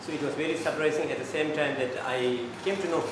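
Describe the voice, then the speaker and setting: A male student speaking formally and hesitantly, with many pauses. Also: a little high. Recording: echoey room, noisy.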